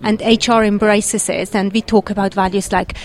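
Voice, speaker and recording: A woman from Switzerland with a Swiss accent, a guest on a podcast, talking in an earnest, measured way with frequent "um" fillers. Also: mid-range voice, level tone, slight background noise. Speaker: podcast guest, agile HR consultant. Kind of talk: conversational interview.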